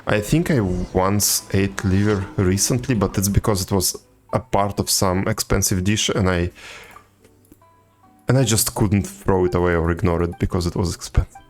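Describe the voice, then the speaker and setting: Low-voiced man chatting on a game stream. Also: steady tone, moderate pace, darkish tone. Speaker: video game livestreamer. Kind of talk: casual chat with viewers while gaming. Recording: game music behind the voice. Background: video game music.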